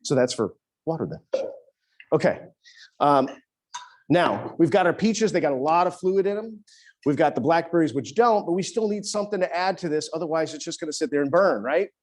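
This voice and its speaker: A man with a somewhat high voice runs a cooking demo as executive chef. He talks in a loose, chatty way and often stops to restart a phrase. He is speaking in a teaching kitchen. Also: lively intonation, brisk. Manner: casual, chatty, many restarts. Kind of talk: cooking demonstration.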